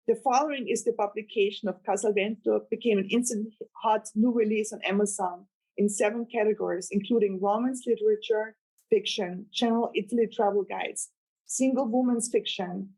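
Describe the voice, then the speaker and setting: A woman's voice: medium pitch, darkish tone, rough recording. Guest novelist: woman, Austrian accent, German native, earnest and rambling, talking casually. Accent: German-speaking, Austrian. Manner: earnest, rambling, laughs with delight. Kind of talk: casual interview chat.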